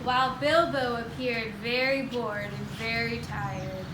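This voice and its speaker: Lady narrator reading story script, pretty high voice, echoey w/ noise behind. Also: fairly even intonation, a little slow. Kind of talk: scripted narration for amateur readers' theater. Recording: noisy echoey room.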